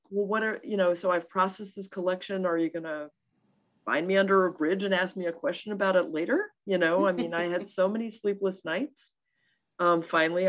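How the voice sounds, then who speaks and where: A woman archivist on a panel over a video call, telling her own story candidly and acting out what she said to people. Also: fairly low pitch. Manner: candid, animated storytelling with quoted asides. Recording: dull lo-fi video call audio.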